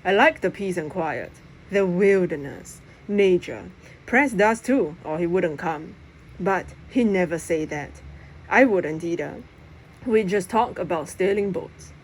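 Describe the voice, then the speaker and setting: A woman's voice: lowish voice, expressive, a little slow, poor audio. A woman reading a children's novel aloud as first-person narration. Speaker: audiobook reader. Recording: faint background noise.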